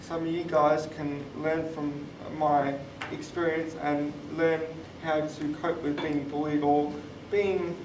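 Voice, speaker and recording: Male, twenties, high voice, explanatory panel speaker; recorded far from the mic in an echoey room. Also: level tone, slow pace, hardly any pauses. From born in Thailand, moved to Australia. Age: 20s. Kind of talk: personal talk on a live panel podcast. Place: conference room, live event. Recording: roomy, distant mic, clear background noise.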